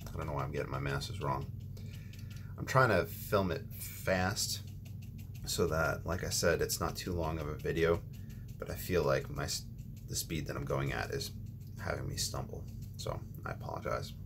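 A man with a very low voice talks through a watercolor lesson at his painting table, loose and unhurried, pausing with ums and correcting himself as he goes. Steady room noise hums behind him. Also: vocal fry. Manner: relaxed, thinking aloud with ums and restarts. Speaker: watercolor tutorial host. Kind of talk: casual painting instruction.

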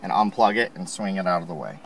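A man calmly explaining, step by step, how to install a car part, recorded inside a car. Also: low-pitched, fast, gravelly. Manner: calm, plain instructional. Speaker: installation instructor.

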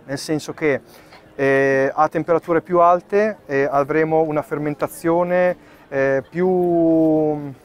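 Chef explaining a dish outdoors. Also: male voice, on the high side. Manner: explanatory, thinking aloud with fillers while working. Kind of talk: live cooking demonstration. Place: outdoor tent in a park. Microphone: far camera.

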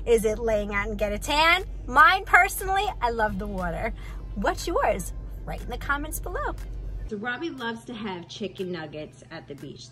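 Bubbly New mom vlogging, music behind. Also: female voice, on the high side, bright, forceful tone. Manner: bubbly, chatty, addresses viewers directly. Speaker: family vlogger. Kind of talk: casual vlog chat to camera. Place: in a car and a home kitchen. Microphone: handheld phone camera.

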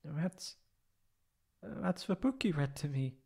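Man acting a slow, creaky-voiced tsundere catboy. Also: a little high, sing-song, dark tone, heavily acted. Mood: hesitant, wistful. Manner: hesitant, stammering. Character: proud, flustered tsundere catboy, insecure, emotional. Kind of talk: ASMR roleplay acting.

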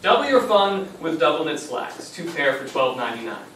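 Actor as a high, animated young grandson, very echoey. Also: male voice, brisk. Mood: wry narrating. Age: young adult. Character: exasperated, dutiful young grandson. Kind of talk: live comic stage play acting and narration. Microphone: distant camera microphone in the audience. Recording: very echoey, distant, noisy stage recording.

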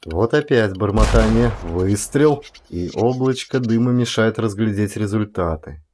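A man, an actor, reads a first-person story as an expressive audiobook performance, with varied delivery and deliberate pauses. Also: fairly low pitch, slight background noise.

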